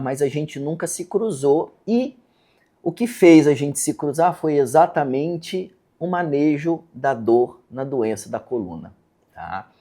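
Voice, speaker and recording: A man's voice: mid-range voice, warm tone. A man hosting a podcast as a neurosurgeon, speaking earnestly in a casual interview chat with a Brazilian Portuguese accent. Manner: earnest, hesitant restarts, frequent "tá?" tags. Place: podcast studio.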